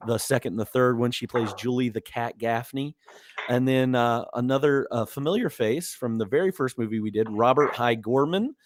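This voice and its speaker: A slightly hoarse man hosting a casual movie podcast, rambling easily with lots of fillers and restarts. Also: mid-range voice, a bit bright, no echo. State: a little hoarse. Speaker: podcast host.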